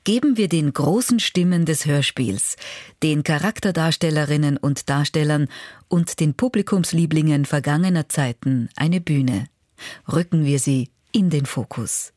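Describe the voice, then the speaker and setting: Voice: medium pitch, darkish tone, dry recording. Formal radio presenter, very dry studio sound. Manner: measured, formal announcing. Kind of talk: scripted narration.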